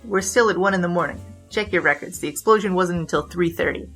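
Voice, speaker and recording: A woman's voice: lowish voice, fast talker, a bit bright, close to an everyday voice. A woman playing a brash, boastful young bandit king who tells wisecracking, slangy stories in a 1920s noir comedy audio drama, with music behind. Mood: brisk storytelling. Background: background music.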